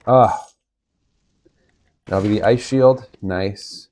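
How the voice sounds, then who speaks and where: A man with a raspy voice from being sick, giving low-key commentary on his gameplay. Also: extremely slow. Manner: relaxed, low-key play-by-play with occasional coughs. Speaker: gaming video host. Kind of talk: casual game commentary.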